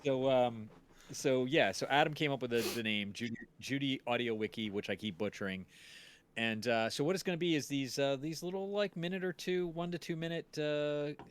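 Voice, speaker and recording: A man's voice: medium pitch, moderate pace, slight background noise. A man hosting a stream in casual chat, talking in a rambling, jokey way. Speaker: stream host.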